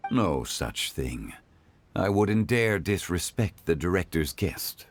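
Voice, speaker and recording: An actor playing a composed, courteous gentleman in a video game, speaking formally and respectfully. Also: deep voice, big pitch swings, slight background noise, exaggerated voice. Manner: formal, unhurried.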